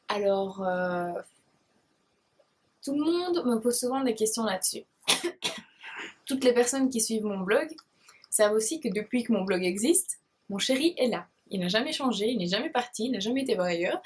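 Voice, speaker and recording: A woman's voice: on the high side, breathy edge. A shy woman in her twenties with a Belgian French accent from Liège, answering viewer questions to camera, hesitant and full of fillers and restarts. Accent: Belgian French, Liège province. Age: 20s. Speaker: beauty blogger and vlogger.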